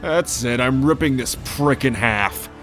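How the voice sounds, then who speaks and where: A man's voice: mid-range voice, unhurried, a bit bright, faint music behind, close to an everyday voice. A man playing a proud, fierce and protective dragon king in a fantasy audio drama, cocky and menacing.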